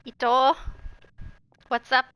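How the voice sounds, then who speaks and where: A woman's voice: high voice, little pitch movement, takes it slowly, pushed and bright, smooth, no echo, poor audio. A woman giving casual, playful reaction commentary as she streams a game. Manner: informal, playful.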